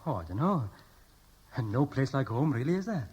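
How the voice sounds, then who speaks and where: A man's voice: medium pitch, very animated, moderate pace, darkish tone, breathy edge, highs cut off. An actor playing an eager, dutiful, unworldly Welsh groom in his early twenties, boyish and hesitant, in a 1960s radio drama. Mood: hesitant, wistful. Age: young adult.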